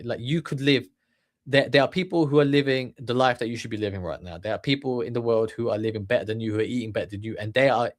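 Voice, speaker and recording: A man from the UK gives an off-the-cuff motivational talk at an average pitch. He circles his points with repeated words, fillers and "right?" as he goes. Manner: rambling, many restarts and fillers. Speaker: channel host. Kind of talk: unscripted motivational monologue.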